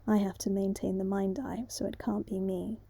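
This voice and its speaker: Woman playing a formal, controlled royal aide, very mellow. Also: mid-range voice, level tone, normal speed, gravelly, slightly rough, no room sound. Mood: calm, formal. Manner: formal, collected, reserved. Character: formal, calm, controlled game host serving a king.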